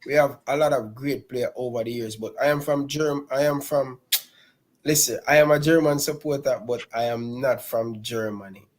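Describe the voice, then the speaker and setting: A man co-hosts a casual football talk. He trips over his openings, repeating words before the thought lands. His voice sits at an ordinary middle pitch for a man. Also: fairly even intonation, moderate pace. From Jamaica. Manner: repeats words often, stumbling starts. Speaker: co-host.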